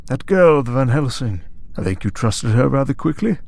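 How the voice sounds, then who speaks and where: A man's voice: medium pitch, very animated, normal speed, vocal fry, a little mellow. A man playing an urbane, taunting vampire villain in an audio drama, speaking in a mocking, leisurely, theatrical way. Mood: amused, needling. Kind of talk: scripted audio roleplay drama.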